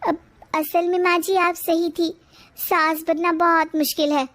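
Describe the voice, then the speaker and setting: A woman plays a cheeky young daughter-in-law in a family cartoon, very high in pitch. She is proud and pert, quick to complain. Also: little pitch movement, fairly bright tone. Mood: conciliatory.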